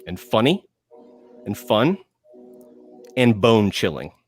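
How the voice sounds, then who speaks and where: A man speaking as a panelist in enthusiastic film talk, emphatic, with dramatic pauses and phrases repeated for effect. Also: on the low side, sing-song, slightly rough, faint music behind.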